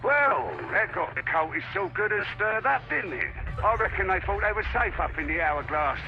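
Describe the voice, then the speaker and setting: A veteran game soldier with a fairly high voice. He talks informally and wryly. Music plays behind him. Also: lively intonation, normal speed, creaky, dull audio. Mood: wry, friendly. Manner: informal, wry.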